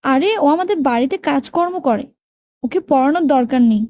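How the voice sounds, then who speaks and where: A woman's voice: sits high, lively intonation, a little fast, mellow. A woman voicing a haughty, dismissive stepmother in a cartoon story. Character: dismissive, haughty stepmother belittling servant girl.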